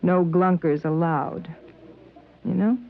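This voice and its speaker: A man's voice: high voice, fairly even intonation, slow pace, vocal fry, full character voice. Resigned townsman, thin tinny radio. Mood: confiding, rueful. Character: resigned male townsman recounting his society's strange change. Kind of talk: 1950s radio drama acting. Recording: thin, phone-like old radio, faint hiss.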